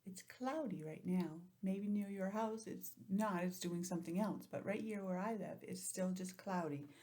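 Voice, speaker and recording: A woman's voice: medium pitch, normal speed, warm tone. A woman teacher leading an interactive lesson for young children, asking questions and adding chatty asides, recorded at home on a laptop. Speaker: preschool or early grades teacher. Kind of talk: interactive calendar lesson for young children over video call. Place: indoors at home, speaking into a laptop.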